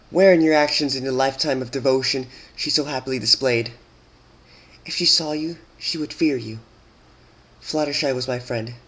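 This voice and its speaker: A regal pony princess, acted out by a man in a dramatic fantasy reading. She is stern and wrathful, with a voice lifted in pitch. Each phrase is emphatic, commanding and full of reproach. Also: fairly bright tone, close to an everyday voice. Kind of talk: dramatic fan-fiction reading.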